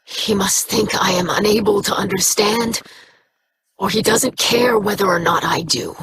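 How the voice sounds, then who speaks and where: A woman's voice: mid-range voice, measured pace, background noise, close to an everyday voice. An actress playing an earnest young female alien scholar who speaks in stiff, precise, formal diction. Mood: hurt.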